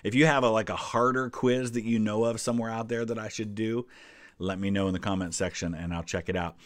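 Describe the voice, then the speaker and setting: A man's voice: on the low side, fairly even intonation, brisk, no room sound. An American man in his forties giving casual, conversational commentary as a history channel host. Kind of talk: casual reaction commentary to a quiz.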